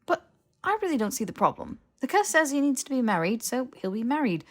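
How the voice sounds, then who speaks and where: A woman's voice: high-pitched, very animated, brisk, pitched above the performer's own voice. A woman voicing a flippant, defensive young man who blurts and stammers, in a fiction audiobook. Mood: earnest, persuading. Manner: stammering, protesting.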